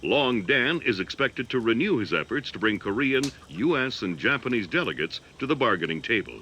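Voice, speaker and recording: An actor playing a formal, emphatic news reader delivering a scripted bulletin in a video game. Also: male voice, mid-range voice, very animated, fairly quick, sharp tone, a little hiss or hum.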